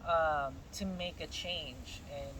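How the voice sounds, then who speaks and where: A man from California whose first language is Spanish, telling his life story in a relaxed, casual chat and laughing often. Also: a little high, slow pace, background noise.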